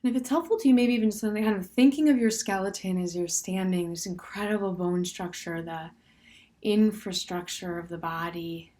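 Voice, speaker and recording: A woman with a medium-pitched voice guides a meditation. She speaks gently and without hurry, leaving pauses between short phrases. Her sentences often soften into breath at the end, and her instructions come across as open and permissive. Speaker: meditation teacher.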